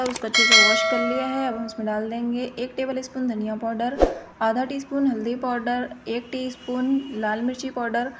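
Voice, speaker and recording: A woman's voice: a little high, slight background noise. A woman giving plain-spoken, step-by-step instructions in a recipe voice-over as host of a cooking channel.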